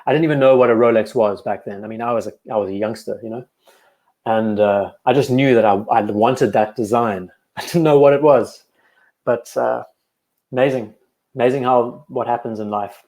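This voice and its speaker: A man's voice: mid-range voice, moderate pace. Easygoing Cape Town South African man. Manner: relaxed and enthusiastic, thinking aloud with fillers and repeats. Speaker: livestream host. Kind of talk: casual livestream chat.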